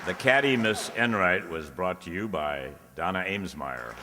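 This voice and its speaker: A man's voice: deep voice, lively intonation, moderate pace, crowd noise. A man, the show's announcer, reading out cast credits live from an auditorium stage. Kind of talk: live curtain-call introductions. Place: large indoor auditorium, on stage. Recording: noisy echoey auditorium with audience.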